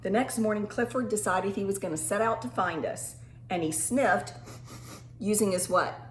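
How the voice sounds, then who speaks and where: A woman teacher reads a story aloud to small children at a medium pitch. She speaks expressively, stretching important words and checking in with simple questions. The recording has a roomy echo and some faint background noise. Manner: animated, simple teacherly phrasing with rhetorical questions and drawn-out emphasis. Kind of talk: expressive picture-book read-aloud with questions to young children.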